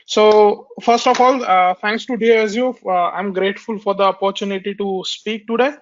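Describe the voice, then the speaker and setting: A man with a fairly high voice walks listeners through a technical webinar, his voice nasal from a cold. He hesitates often, filling gaps and restarting phrases. The sound is muffled and lo-fi, like a webinar conference call. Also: fairly even intonation, moderate pace, a little hiss or hum. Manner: hesitant, many fillers and restarts. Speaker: webinar presenter. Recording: dull lo-fi webinar audio.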